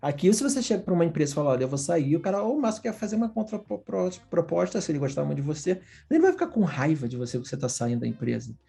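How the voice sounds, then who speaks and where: A man's voice: fairly high pitch, racing, creaky, hardly any pauses. A Brazilian man, a design professor, telling candid stories in a casual, slangy conversation with a Brazilian Portuguese accent. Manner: animated, candid, slangy storytelling. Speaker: guest, design professor abroad.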